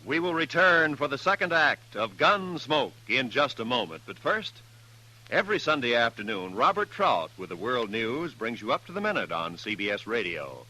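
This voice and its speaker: A man's voice: medium pitch, sing-song, normal speed, pushed and bright, low-quality recording. A man announcing from a script in polished, formal 1950s network radio style.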